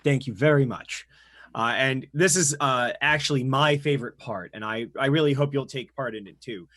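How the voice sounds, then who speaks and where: A man's voice: mid-range voice, normal speed, fairly bright tone. A man voicing a guide character during an online tabletop roleplay session, earnest and playful. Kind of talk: tabletop roleplay over voice chat.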